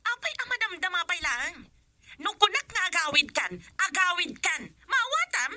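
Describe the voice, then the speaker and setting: An Ilocano actress playing a hot-tempered, nagging young wife in a radio drama. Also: female voice, very high voice, sing-song, normal speed, pushed and bright, dry recording, full character voice. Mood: angry, nagging. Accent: Filipino, Ilocano.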